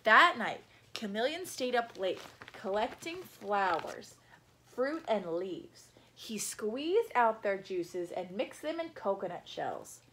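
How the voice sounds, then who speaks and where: A young adult woman reading a picture book aloud to children, animated and explanatory, with asides. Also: medium pitch, slow, slight background noise, poor audio. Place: small room at home.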